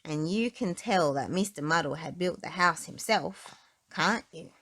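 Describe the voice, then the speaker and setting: A woman with a slightly low voice reads a children's storybook aloud. Her narration is expressive, with very lively swings in pitch. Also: a little slow.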